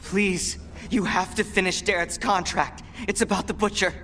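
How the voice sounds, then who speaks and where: A man's voice: sits high, fairly even intonation, moderate pace, sharp tone, soft background music. An actor playing a young, grieving assassin who pleads urgently for his slain cousin in a video game. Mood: grieving, pleading. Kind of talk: dramatic video game acting.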